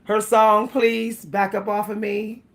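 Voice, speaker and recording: A woman's voice: mid-range voice, little pitch movement, slow pace, vocal fry. A woman hosting a casual livestream, chatting with viewers, laughing often and breaking into song. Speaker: livestream host. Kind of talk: casual livestream chat with singing.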